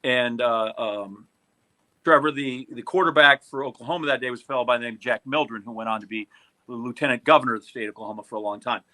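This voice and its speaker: An American man co-hosting a podcast with casual banter and quick questions, delivered in a dry, teasing manner. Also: mid-range voice, bright, forceful tone. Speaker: podcast co-host.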